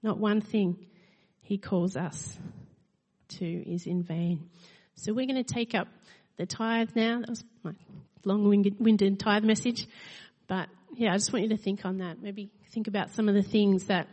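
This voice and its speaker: A woman's voice: mid-range voice, steady tone, a little slow, darkish tone. A woman giving an earnest, emphatic tithe message to a church congregation. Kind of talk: sermon-style encouragement. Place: church hall. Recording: long hall reverb.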